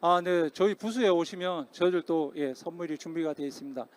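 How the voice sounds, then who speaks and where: A man speaking as a technical expert in a formal conference Q&A, explaining politely and hesitantly with frequent pauses. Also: on the high side. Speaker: conference speaker, security company technical director answering questions. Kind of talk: formal Q&A after a presentation. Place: conference hall stage, amplified talk.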